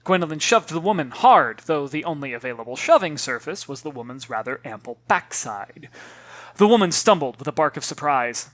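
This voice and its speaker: An author narrating a children's novel in an expressive, theatrical way, addressing listeners directly. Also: medium pitch, moderate pace, dry recording.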